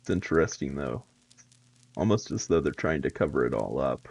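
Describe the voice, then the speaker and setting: A man's voice: very deep voice, lively intonation, moderate pace, gravelly, husky. A man giving casual reaction commentary in a musing, wry manner. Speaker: reaction video host.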